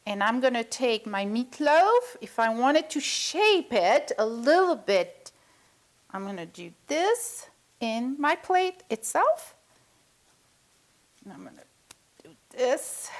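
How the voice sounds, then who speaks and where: Woman, cooking host, fairly high voice, unhurried, chatty step by step. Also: big pitch swings. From Belgium. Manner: chatty, talks viewers through each step. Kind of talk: cooking demonstration.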